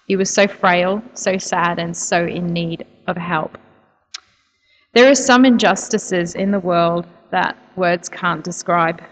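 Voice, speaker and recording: A woman speaks to a church audience, earnest and soft-spoken, with the occasional um. Her voice sits a little low for a woman. It carries the long reverb of a big hall. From New Zealand. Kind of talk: church talk telling a personal story.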